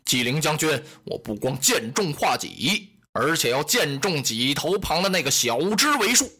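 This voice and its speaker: A haughty warlord general declaims his orders in a low, stately voice, put on by a storyteller. The voice is husky and bright, with wide swings of pitch. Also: very animated, normal speed, exaggerated voice. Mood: commanding. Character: proud commanding warlord general.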